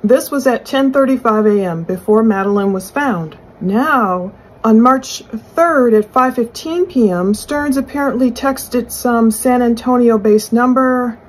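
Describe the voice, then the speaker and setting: Husky-voiced woman commenting on true crime. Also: on the high side, a little slow, poor audio. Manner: speculative, explanatory, posing questions aloud. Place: small furnished room indoors.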